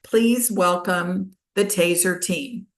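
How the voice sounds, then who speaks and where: A woman's voice: lowish voice, slow pace, roomy sound. A woman reading a formal introduction, announcing the next presenting team. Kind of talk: scripted introduction.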